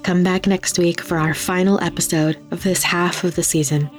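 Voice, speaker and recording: Female announcer, lower register, flat even delivery, scripted outro read with faint music underneath. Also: normal speed. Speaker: podcast announcer reading credits.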